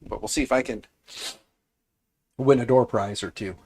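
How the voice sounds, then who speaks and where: A man hosting a casual livestream, congested and raspier than usual, rambling and repeating himself as he talks to his chat. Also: medium pitch, fairly even intonation, normal speed, darkish tone. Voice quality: raspy, congested. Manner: mild swearing, offhand. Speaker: livestream host.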